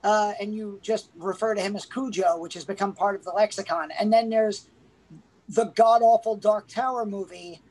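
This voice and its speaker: Relaxed, knowledgeable male podcast co-host. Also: high-pitched, normal speed, rough recording. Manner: relaxed, knowledgeable, frequent fillers and restarts. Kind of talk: casual movie review chat.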